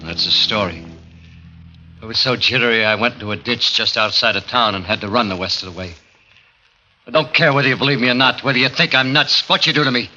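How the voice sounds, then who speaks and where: An actor playing a frightened, jittery working man on the run in 1940s radio horror, stammering and halting in plain colloquial speech. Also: medium pitch, pushed and bright, soft background music. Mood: jittery, desperate. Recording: muffled vintage 1940s radio recording.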